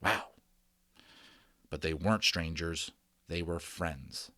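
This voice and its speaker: A man reading a children's novel aloud in an expressive, theatrical storytelling style. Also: low voice, slow, no room sound, clean studio sound.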